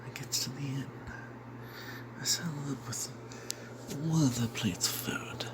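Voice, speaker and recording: A man narrating a fantasy role-playing tale in first person as ASMR, in a breathy, secretive whisper, rambling wryly as if not to be overheard. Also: mid-range voice, unhurried. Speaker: storyteller narrating a tabletop game tale.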